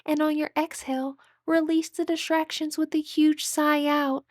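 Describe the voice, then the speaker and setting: A woman leading a guided meditation in a measured, instructive way, with drawn-out phrases and audible breaths. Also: high voice, flat delivery, no echo, clean studio sound.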